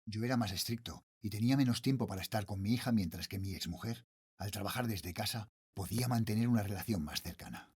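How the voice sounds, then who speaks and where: A man's voice: fairly low pitch, fast talker, a little mellow, breathy edge, dry recording, clean studio sound. A middle-aged man with a Spanish accent from Spain playing an uneasy night watchman and father, narrating a horror tale in a suspenseful, brooding way. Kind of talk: dramatic first-person horror narration.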